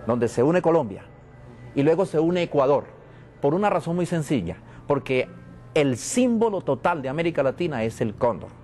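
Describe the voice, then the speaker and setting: Guy going on about prophecies, slow solemn preachy rhythm, little bit of hiss behind. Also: on the high side, darkish tone. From Venezuela. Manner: solemn, repetitive, preaching cadence. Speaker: interviewee. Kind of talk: spiritual and prophetic talk.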